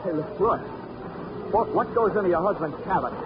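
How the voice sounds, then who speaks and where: Hard-boiled investigator, higher-pitched. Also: male voice, expressive, moderate pace, crowd noise. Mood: urgent, wry. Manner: hard-boiled, wisecracking, blunt. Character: tough, wry, pushy private investigator. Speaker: lead and narrator. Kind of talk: 1940s radio acting. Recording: dull, lightly noisy old radio recording.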